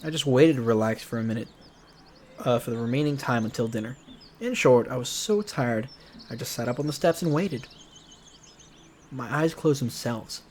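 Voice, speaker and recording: A man's voice: mid-range voice, normal speed, pauses often, darkish tone, a little hiss or hum. A man streaming a visual novel, reading it aloud expressively with casual asides. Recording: music behind.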